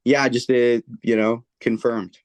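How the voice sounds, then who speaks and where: A man's voice: mid-range voice, flat delivery, a little slow, dry recording. A young man co-hosting a casual sports podcast, laid-back, with dry quips. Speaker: podcast co-host.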